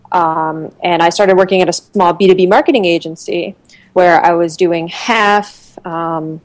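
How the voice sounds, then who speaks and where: Chatty low-voiced woman, dry sound. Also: dry recording. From Connecticut, USA. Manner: chatty, many fillers and restarts. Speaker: guest. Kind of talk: casual interview chat.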